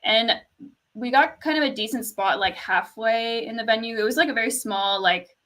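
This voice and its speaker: A young woman from Canada with a fairly quiet speaking voice, telling a story in casual chat with lots of "like" and laughter. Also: fairly high pitch, normal speed. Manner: storytelling, laughs often, says "like" a lot.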